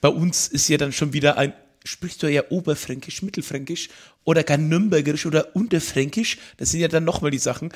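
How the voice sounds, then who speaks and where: A man's voice: on the high side, a little fast. A man from Franconia co-hosting a casual podcast with enthusiastic, eager interjections. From Franconia, Germany. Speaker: podcast co-host. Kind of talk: casual chat.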